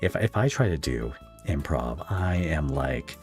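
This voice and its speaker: A man's voice: very deep voice, very animated, measured pace, a little mellow, faint music behind. A man hosting a casual interview, speaking informally with somewhat hesitant phrasing. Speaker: podcast host, interviewer.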